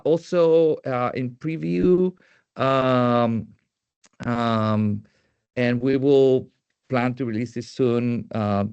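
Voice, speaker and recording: A man with a Puerto Rican accent, a native Spanish speaker, giving a tech presentation in a halting way with many fillers and restarts. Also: medium pitch, a little mellow, clear, resonant tone, no room sound, dull audio. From Puerto Rico.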